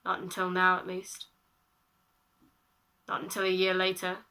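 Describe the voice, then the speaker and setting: A woman in her late teens performing spoken-word poetry, with dramatic, deliberate phrasing and repetition. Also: on the low side, monotone, pushed and bright, clean tone. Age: late teens, about eighteen. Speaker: slam poet. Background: music.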